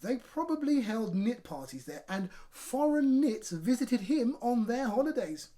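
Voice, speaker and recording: A man presenting a children's storytime, talking directly and encouragingly to young listeners and narrating in a lively way. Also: very high voice, unhurried.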